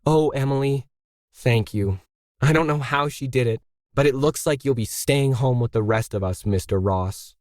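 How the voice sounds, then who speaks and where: A man's voice: mid-range voice, normal speed, a little mellow, no room sound, natural voice. Fiery audio-story heroine, read by a man: defiant artist, emphatic and challenging, in a dry recording. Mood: gloating.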